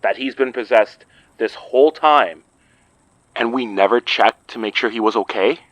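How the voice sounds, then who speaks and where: A man acting as a grim, tense man in an audio drama, speaking deliberately. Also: mid-range voice, fairly bright tone, dry recording, highs cut off, natural voice. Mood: disbelieving, grim. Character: grim, tense man confronting a friend's possession.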